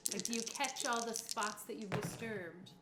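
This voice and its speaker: A woman's voice: medium pitch, normal speed. A woman running a tabletop roleplaying game, narrating casually and off the cuff with a playful, giggly manner. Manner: giggly, uses playful sound effects. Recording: echoey room with clear background hiss.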